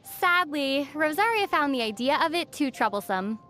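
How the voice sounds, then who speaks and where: A gentle young heroine of a game speaks in a high, measured voice. She sounds thoughtful and quietly teasing, with faint music behind her. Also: big pitch swings. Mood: calm, friendly. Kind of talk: video game dialogue acting. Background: faint background music.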